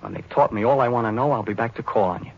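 A man's voice: medium pitch, rapid-fire, gravelly. An actor playing a cocky, self-serving young American serviceman in the style of a 1940s radio drama. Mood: bitter, scornful. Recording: muffled, noisy vintage 1940s radio.

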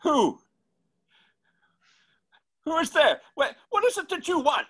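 A man's voice: very high voice, big pitch swings, slow, fairly bright tone, full character voice. A man playing a frightened old man in a radio horror drama, stammering and pleading in alarm.

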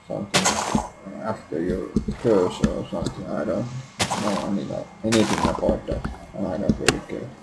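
Young finnish guy mumbling through a game, gravelly low voice, echoey room, noisy laptop mic. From Finland. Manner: quiet, hesitant, halting, frequent swearing. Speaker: gameplay video maker. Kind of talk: casual gameplay commentary. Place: home room. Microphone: built-in laptop mic.

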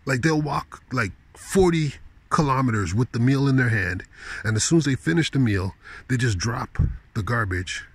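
A man's voice: medium pitch, animated, moderate pace, husky, no room sound. A man rambling casually in slangy, incredulous asides, speaking into a handheld phone while walking outdoors at night. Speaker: vlogger. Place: outdoors at night on a park path.

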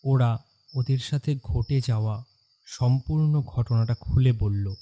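A man's voice: mid-range voice, a little slow, warm tone. A man narrating a horror story in a dramatic, scripted style. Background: music.

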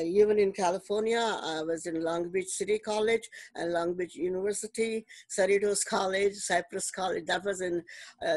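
A woman in her seventies with an Indian English accent and Telugu as her first language, unhurriedly telling her life story as an interview guest over a video call, with many pauses and fillers. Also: mid-range voice, no echo. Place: home room, indoors.

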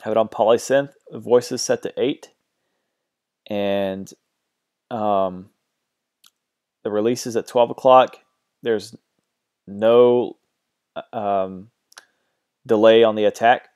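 A man with a low, mellow and smooth voice talks through music software settings at his computer. He sounds relaxed and a bit hesitant, filling pauses with ums and uhs. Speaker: music production tutor. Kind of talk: screen-recorded software tutorial.

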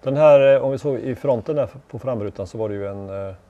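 A man's voice: mid-range voice, dark tone, lo-fi sound. A middle-aged Swedish man co-hosting a car chat, curious and asking questions. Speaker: co-host. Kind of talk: casual studio chat. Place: small studio with a brick wall.

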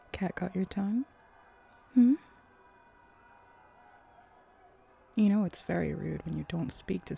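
Woman as a husky, teasing hellhound seductress. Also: mellow, exaggerated voice. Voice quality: low, husky, creaky. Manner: slow, teasing, seductive, drifting into breathy whispers. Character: flirty, teasing female hellhound, a sly demon pack hunter. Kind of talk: roleplay ASMR audio drama.

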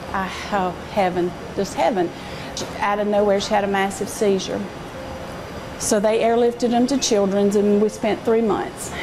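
A woman in her fifties from Alabama with a Southern accent, telling her story in an interview inside a small restaurant, earnest and halting at times. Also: mid-range voice, noisy background. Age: 50s. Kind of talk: emotional interview. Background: music.

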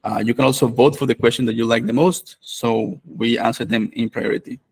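A man, hosting a live online course on a video call, relaxed and full of fillers. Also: mid-range voice, brisk, creaky, husky. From Venezuela. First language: Spanish. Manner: relaxed, many fillers and restarts. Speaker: developer advocate and course host.

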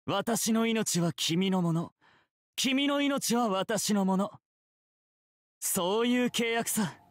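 A man's voice: high voice, slow pace, no echo, studio quality. A man playing an elegant, teasing pirate captain in a game, polite, theatrical and self-assured. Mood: teasing, commanding. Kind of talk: Japanese game character voice acting.

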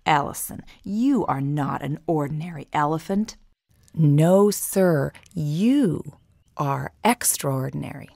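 A man voicing a gentle, reassuring mother elephant in a soothing, motherly, encouraging manner. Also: on the high side, voice pushed up, heavily acted.

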